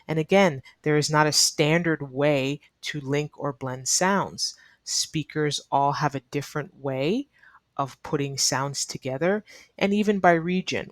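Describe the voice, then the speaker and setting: A woman's voice: low-pitched, dry recording. A woman teaching an online English lesson in a neutral American accent, explaining earnestly to learners and repeating key advice for emphasis. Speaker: ESL teacher.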